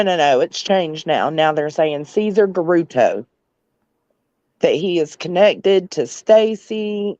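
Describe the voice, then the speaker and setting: A woman's voice: fairly low pitch, darkish tone, dry recording. A woman chatting casually as a caller on a livestream panel, heard over lo-fi voice chat audio.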